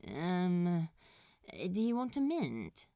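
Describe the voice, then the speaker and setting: A woman's voice: fairly low pitch, huge pitch swings, drawn-out words, vocal fry, dark tone, no echo, clean studio sound, heavily acted. Woman as a cool, laconic, offhand man. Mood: awkward, casual. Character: cool, casual, teasing man, somewhat awkward.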